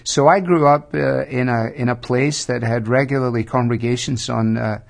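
Gravelly Scottish pastor in his fifties. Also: mid-range voice, fairly even intonation. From Scotland. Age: 50s. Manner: measured, thoughtful, with frequent hesitations. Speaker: pastor and guest. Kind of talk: reflective interview storytelling.

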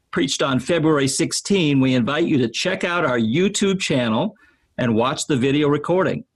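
A man hosting a podcast who reads the show's introduction in a measured, formal way. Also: a little high, slightly rough. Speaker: podcast host. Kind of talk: scripted show introduction.

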